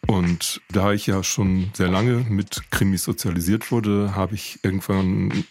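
A man's voice: sits low, fairly even intonation, unhurried, darkish tone, husky. A middle-aged man, a professor guesting as an expert, answering conversationally over a remote studio link. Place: radio studio, linked remotely.

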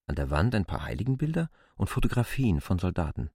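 A man's voice: low voice, very animated, quick pace, darkish tone, breathy edge, no echo, clean studio sound. A man giving a scripted literary reading as a narrator in a radio play.

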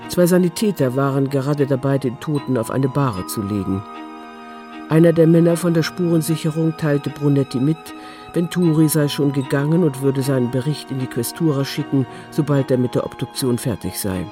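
A man narrating a scripted audio drama in a measured, sober manner. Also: medium pitch. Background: faint music.

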